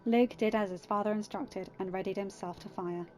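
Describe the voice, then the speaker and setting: A woman's voice: medium pitch, steady tone, a little fast, a little mellow, soft background music. A woman reading scripted fan fiction narration as an audiobook reader.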